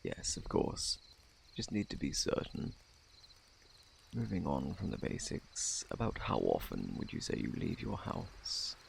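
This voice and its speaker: Man playing a gravelly, soft-spoken British plague doctor. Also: low voice, wildly swooping pitch, unhurried, slight background noise, heavily acted. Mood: clinical, hushed. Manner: formal, fussy, self-assured. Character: polite, evasive, faintly sinister male plague doctor. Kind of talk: ASMR roleplay, soft close speech.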